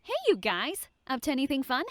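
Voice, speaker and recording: Woman as a high, perky young head counselor. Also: very animated, fairly quick, dry recording. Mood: perky, curious. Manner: bubbly, inquisitive. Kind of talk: horror audio drama acting.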